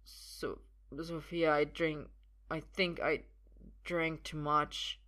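A woman voicing a drunk, drugged young man in an audiobook, confused and halting, with slurred words. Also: sits low, little pitch movement, gravelly, bright, forceful tone, full character voice. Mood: slurring, fearful. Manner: halting, stammering.

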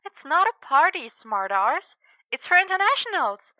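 A bubbly, flirtatious coach, as a woman reader puts her on, high and sing-song, with pitch that leaps all over. She teases in a soft, breathy lilt. The sound is dry and a little muffled. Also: very animated, moderate pace, no room sound. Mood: teasing.

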